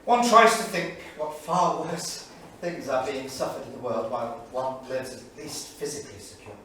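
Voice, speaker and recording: A man's voice: medium pitch, sing-song, normal speed, bright, forceful tone. A man playing a bitter, grieving 1938 academic, reading his journal aloud in a solemn, literary, emphatic way on stage. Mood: grieving, reflective. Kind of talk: staged dramatic reading of diary entries. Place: small studio theatre, staged reading. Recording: echoey theatre, distant mic, faint noise.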